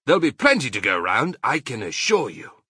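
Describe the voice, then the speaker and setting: A man's voice: medium pitch, huge pitch swings, brisk, sharp tone, husky, no echo, clean studio sound, heavily acted. A man voicing a sly, courteous fox father in a children's storybook, suave and savouring his words. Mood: proud, generous.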